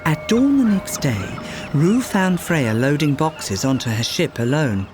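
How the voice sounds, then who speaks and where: A man reading a children's fairytale aloud as a storyteller, in expressive scripted narration. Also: on the high side, unhurried. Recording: music behind the voice. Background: music.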